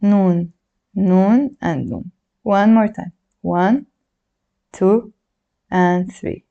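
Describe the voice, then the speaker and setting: Mellow-voiced woman teaching, chatty and encouraging. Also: medium pitch, steady tone, drawn-out words, creaky, highs cut off. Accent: Lebanese Arabic. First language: Arabic. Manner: chatty, encouraging, counts along while writing. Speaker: Arabic teacher. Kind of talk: handwriting lesson, demonstrating while talking.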